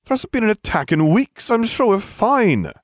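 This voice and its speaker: Smug, arrogant schoolboy wizard read by a man, haughty, lively lilt, dry close sound. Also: high voice, normal speed, no room sound, pitched above the performer's own voice. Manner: haughty, self-satisfied.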